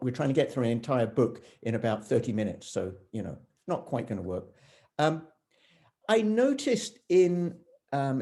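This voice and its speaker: A man's voice: mid-range voice, dark tone. A man speaking as an academic at a conference, loosely delivering his talk and often breaking off to rephrase himself. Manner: halting, self-interrupting, with frequent rephrasing.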